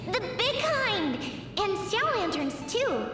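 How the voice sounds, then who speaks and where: An earnest child, with a very high, lilting voice that moves up and down a lot. The child sounds distant in a hall-like echo. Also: female voice, sing-song, slow pace, over music, full character voice. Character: earnest child who dreams of lanterns. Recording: echoey flashback from afar.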